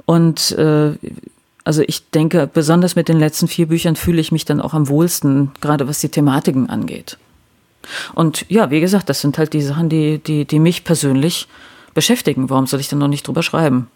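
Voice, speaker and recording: A woman's voice: deep voice, fairly even intonation, brisk, dark tone. Wry, hesitant woman novelist. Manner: reflective, wry, with many hesitations and restarts. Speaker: crime novelist, interview guest. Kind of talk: casual interview talk.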